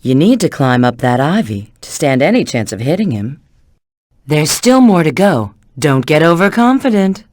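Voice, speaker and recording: A woman's voice: sits low, very animated, normal speed, a little mellow, no room sound, studio quality. A woman voicing a confident, flirty female bat spy and treasure hunter in a video game, with a cool, knowing, instructive manner. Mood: urgent, alert. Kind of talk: video game character voice acting.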